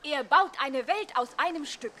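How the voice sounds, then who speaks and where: An actress playing a clever, lively young lady's maid in a radio comedy. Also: high voice, normal speed, a little hiss or hum. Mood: buoyant. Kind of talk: German radio play comedy acting.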